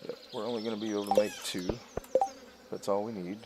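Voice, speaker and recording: A man giving casual let's-play commentary, unhurried and thinking aloud as he plays. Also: lowish voice, steady tone, heavy background noise. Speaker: gaming video host. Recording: loud game music behind voice. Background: video game music.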